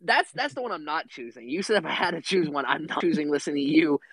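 Rambling higher-voiced man, dry close mic. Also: expressive, quick pace, a bit bright, husky, no echo, low-quality recording. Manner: rambling, laughs while talking, repeats "I mean". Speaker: podcast co-host. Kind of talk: casual chat.

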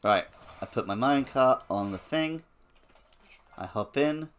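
A man's voice: medium pitch, animated, a little slow, slight background noise. A man co-hosting a podcast, chatting casually about a video game. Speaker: podcast co-host.

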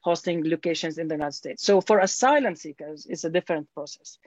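A man's voice: a little high, fast, a loud crowd. A man, a guest answering interview questions hesitantly, with many fillers and restarts. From Syria. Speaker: guest, scholar. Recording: dull, dry lo-fi audio.

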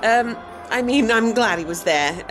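A woman's voice: on the high side, expressive, unhurried, music in the background. A woman playing an eager, gushing and slightly awkward assistant in an old-time radio drama. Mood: gushing, flustered.

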